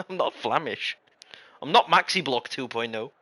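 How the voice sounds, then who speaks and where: A man's voice: medium pitch, animated, moderate pace, bright, forceful tone. A man from Europe giving casual live sim racing commentary as a livestream host, mixing it with jokey, mock-indignant banter.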